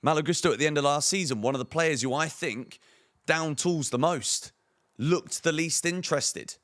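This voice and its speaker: Croaky fired-up man. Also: on the high side, moderate pace, fairly bright tone. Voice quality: hoarse. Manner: opinionated, emphatic, rhetorical questions. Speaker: fan channel host. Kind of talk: football transfer news commentary.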